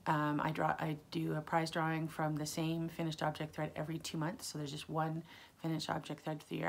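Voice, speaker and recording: A woman's voice: low voice, gravelly. A woman hosting her knitting podcast in a casual, rambling way, full of ums and self-corrections, with a lingering chest cough. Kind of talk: casual solo podcast chat.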